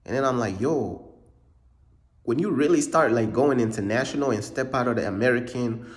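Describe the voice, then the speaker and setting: A man's voice: medium pitch, fast. Slangy young man from Uganda. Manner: slangy, repetitive, peppers speech with bro. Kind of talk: casual vlog monologue.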